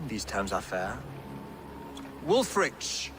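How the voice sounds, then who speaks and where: A man's voice: on the low side, very animated, background noise, full character voice. An actor playing a dignified, pious Saxon king in a video game, formal and dryly haughty. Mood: measured. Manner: measured, regal, formal. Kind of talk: dramatic video game acting.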